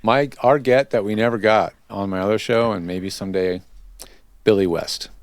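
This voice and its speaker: A man's voice: fairly low pitch, moderate pace, no room sound. A man hosting a podcast in casual interview chat, explaining things in a chatty way. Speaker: podcast host and audio tech interviewer.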